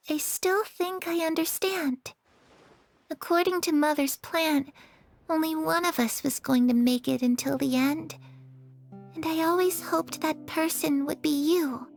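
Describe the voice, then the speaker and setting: A gentle young woman in a game cutscene, with a very high, light voice. She speaks softly and dreamily and slips easily into playful teasing. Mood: wistful. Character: gentle wistful young woman, lost sister.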